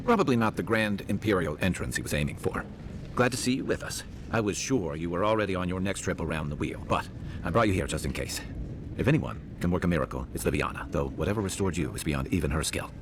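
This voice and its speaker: An actor playing a gruff, wry, loyal male adventuring companion in a fantasy video game, with a worldly manner. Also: lively intonation, quick pace. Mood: wry, concerned. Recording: loud rumbling noise behind.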